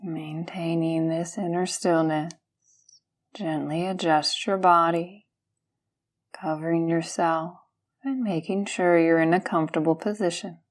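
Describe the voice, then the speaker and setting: A woman guiding a yoga nidra meditation, speaking gently and soothingly in calm, unhurried instructions with pauses between phrases. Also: on the low side. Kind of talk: guided meditation.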